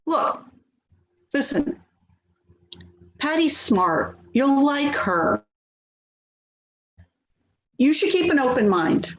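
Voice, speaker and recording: A woman's voice: high-pitched, sing-song, extremely slow, long pauses, heavily acted. A woman acting a harried, placating adult daughter in a readers theater play, weary, hesitant and pleading. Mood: exasperated. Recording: dull, echoey, clearly noisy audio.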